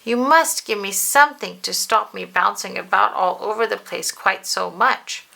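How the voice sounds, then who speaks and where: A woman's voice: mid-range voice, animated, moderate pace, vocal fry, bright, forceful tone, full character voice. A woman voicing a tiny, hapless male bouncing creature from a children's storybook, in an exclamatory, plaintive manner. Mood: pleading.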